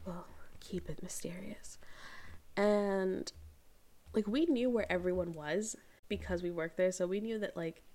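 An American woman whose accent has softened after years in the UK, chatting casually and often laughing as she tells stories. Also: mid-range voice, unhurried. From United States. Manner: chatty, laughs often, acts out quoted voices. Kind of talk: casual chat.

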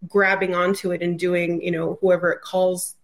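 A woman's voice: on the low side, flat delivery, moderate pace. A woman speaking as a podcast guest, explaining her ideas in a casual, loose, conversational way with many filler phrases. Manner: informal, loose, peppered with "like" and "you know".

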